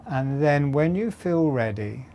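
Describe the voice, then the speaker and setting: A man giving guided breathing and meditation instruction in short, measured phrases with long pauses between them. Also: fairly high pitch, expressive, warm tone, slight background noise.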